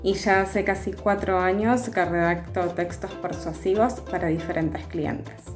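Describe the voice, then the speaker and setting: A woman in her thirties with a Buenos Aires accent, a copywriter telling her story in a steady recorded testimonial. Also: mid-range voice, a little slow. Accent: Argentine (Buenos Aires). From Argentina. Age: 30s. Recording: roomy room with soft music.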